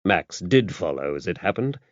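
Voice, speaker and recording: A British man narrates a mystery novel in a fairly low voice. His delivery is scripted audiobook storytelling. The sound is very dry, with a slightly muffled, lo-fi finish. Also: very animated, normal speed, no echo.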